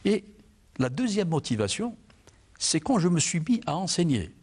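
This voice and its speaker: A man's voice: on the high side, big pitch swings, normal speed, darkish tone, slightly rough. A man, a guest author in a TV studio interview, reflective and often repeating words as he searches for them. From Algeria. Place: TV studio set, indoors.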